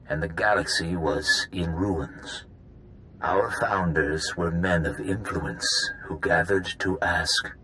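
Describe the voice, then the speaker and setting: A man voicing a grave, ancient founder of a secret cabal, telling history deliberately in a video game. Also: deep voice, bright, forceful tone, slight background noise, full character voice, rough recording. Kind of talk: video game cutscene dialogue.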